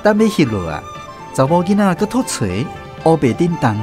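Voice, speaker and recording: A man's voice: a little high, very animated, moderate pace, music in the background. A man playing a storyteller who recalls his naive country boyhood, narrating a radio drama with a Taiwanese accent. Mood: wry.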